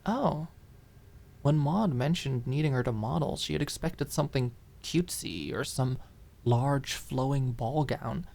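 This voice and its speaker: A woman's voice: very deep voice, a little slow, gravelly, darkish tone. A woman reading a dramatic fiction audiobook expressively, lingering on key words. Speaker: audiobook narrator. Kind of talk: dramatic scripted fiction narration.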